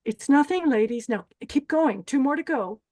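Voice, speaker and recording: Woman playing a bossy, menacing pageant villainess, higher-pitched. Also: lively intonation, normal speed, a little mellow. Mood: dismissive. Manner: bossy, threatening. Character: menacing, deranged female pageant host ordering contestants around. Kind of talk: screenplay table read.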